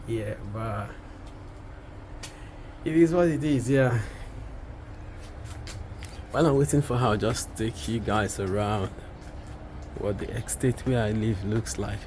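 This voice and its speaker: Casting: young male vlogger, hoarse husky voice, slow casual delivery, playful, recorded outdoors over noticeable ambient vehicle noise. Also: on the low side, darkish tone, rough recording. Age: young adult. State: hoarse from a sore throat. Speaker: vlogger, content creator. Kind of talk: casual vlog chat to viewers. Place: outdoors, quiet residential estate street.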